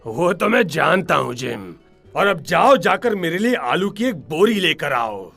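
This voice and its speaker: Cartoon pirate cook: high-set gravelly rasp, bossy, menacing, very animated delivery. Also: male voice, a little slow, soft background music, heavily acted. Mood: impatient, bossy. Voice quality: gravelly, raspy. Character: gruff, threatening ship's cook, adult male pirate. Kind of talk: Hindi cartoon dub acting.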